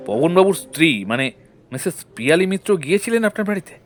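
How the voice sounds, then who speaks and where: An actor playing a courteous, methodical defence lawyer questioning a witness in a courtroom audio drama. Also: male voice, high voice, lively intonation, fast talker, soft background music. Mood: measured curiosity. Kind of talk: Bengali audio-story courtroom acting.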